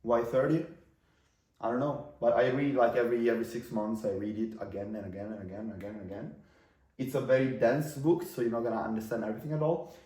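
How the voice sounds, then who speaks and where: A man's voice: medium pitch, steady tone, fast, warm tone, roomy sound, distant mic, poor audio. A man in his twenties with an Italian accent, whose first language is Italian, talking casually to camera about marketing in a rambling way with fillers and restarts. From Italy. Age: 20s.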